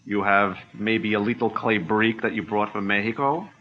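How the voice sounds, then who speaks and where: Menacing low-voiced villain, a man in the role, old-time radio drama, muffled audio. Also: steady tone, normal speed, faint music behind, natural voice. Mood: taunting. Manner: smooth, taunting, broken English. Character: menacing male munitions racketeer villain. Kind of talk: 1940s radio acting. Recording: dull lo-fi old-radio sound.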